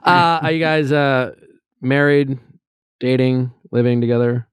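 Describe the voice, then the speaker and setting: A man's voice: mid-range voice, fairly even intonation, a little slow, clear, resonant tone, dry recording. A man hosting a podcast who talks callers through their disagreement, summing up each side and steering them straight to the point. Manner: direct, summarizing, steering the talk. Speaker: podcast host. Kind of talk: casual mediation chat.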